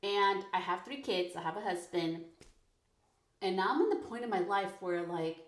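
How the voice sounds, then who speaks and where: A woman in her thirties hosting a personal podcast, talking frankly and casually, full of "like" and quick laughs. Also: mid-range voice. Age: 30s. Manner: chatty, frank, peppered with "like", laughs often. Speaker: podcast host. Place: her bedroom recording corner. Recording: echoey home room.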